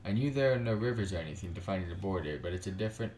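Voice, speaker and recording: A man's voice: low voice, animated, rapid-fire, reverberant, slight background noise. A man narrating a visual novel for a let's play, breaking off into joking asides. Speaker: video game streamer. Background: soft background music.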